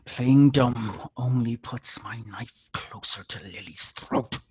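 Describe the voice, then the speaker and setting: An actor playing a menacing kidnapper in a screenplay table read, controlled and commanding, with a husky, gravelly voice. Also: male voice, mid-range voice, slow, a little mellow. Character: menacing male kidnapper threatening a mother by phone.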